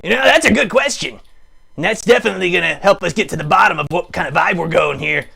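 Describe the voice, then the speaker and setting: Goofy puppet show host, played by a man: high-pitched, very raspy, playful and theatrical, improvised rambling. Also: lively intonation, fast talker, bright, forceful tone, full character voice. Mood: musing. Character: chatty goofy puppet hosting a live show. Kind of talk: improvised comic rambling to live chat.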